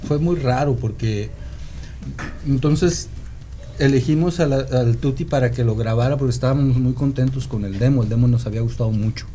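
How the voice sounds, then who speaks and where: Male, Guadalajara Mexican accent, dark relaxed tone. Meandering, halting raconteur in casual interview, slight background noise. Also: medium pitch. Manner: halting, meandering storyteller who seeks confirmation with "¿no?". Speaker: podcast guest, rock musician. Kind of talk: casual reminiscing conversation.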